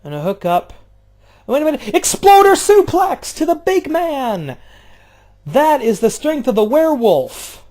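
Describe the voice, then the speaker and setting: A man doing casual play-by-play of a video game wrestling match, jumping between loud outbursts and low, offhand, swearing asides. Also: sing-song, unhurried. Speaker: play-by-play commentator.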